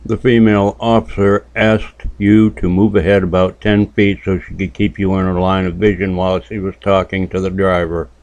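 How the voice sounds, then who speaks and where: A man's voice: low voice, level tone, moderate pace, few pauses, darkish tone, no room sound. A man from New Hampshire hosting a casual reaction commentary channel, making dry, sardonic remarks. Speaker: YouTube commentary host.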